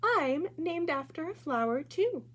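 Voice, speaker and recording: A woman's voice: high voice, very animated, unhurried, pitched above the performer's own voice. A woman voicing a kind, dreamy and theatrical music teacher from a children's storybook, with emphatic delivery. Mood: confiding. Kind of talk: children's storybook read-aloud.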